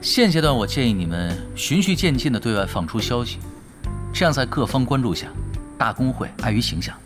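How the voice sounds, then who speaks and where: A man's voice: medium pitch, very animated, a little fast, close to an everyday voice. Actor as laid-back veteran gamer man, swooping intonation. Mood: advising, relaxed. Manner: casual, wry, advising juniors. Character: laid-back veteran male pro gamer, shrewd and teasing. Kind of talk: Chinese radio drama dialogue. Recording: music behind the voice.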